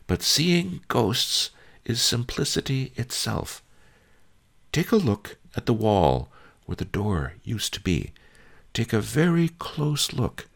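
A man voicing a wise old male sage who coaches a pupil in a calm, coaxing way. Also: mid-range voice, creaky, husky, pitched above the performer's own voice, exaggerated voice. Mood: reassuring. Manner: calm, coaxing, soft-spoken.

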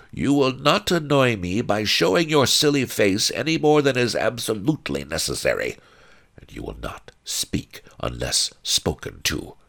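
A man's voice: low voice, creaky, fairly bright tone, pitched above the performer's own voice, exaggerated voice. A man reading an audiobook and voicing a huge, cold, tyrannical old grandmother who talks in curt, commanding growls.

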